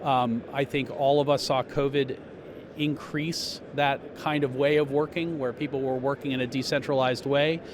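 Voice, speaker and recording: A man giving a measured, technical explanation as a company spokesman in a trade show interview. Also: mid-range voice, steady tone. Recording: noisy trade show floor.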